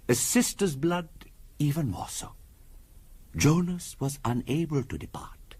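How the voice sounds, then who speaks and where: Radio drama role: grave, courteous mystic storyteller, portentous and formal, husky, very expressive in pitch. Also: male voice, no room sound, heavily acted. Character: solemn, courteous male mystic recounting a family curse. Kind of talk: 1970s radio drama acting.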